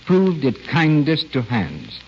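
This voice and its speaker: Lively American radio announcer man, swooping delivery. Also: medium pitch, slow. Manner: polished, persuasive, emphatic delivery. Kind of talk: 1940s radio commercial and announcing. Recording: dull, noisy old radio broadcast.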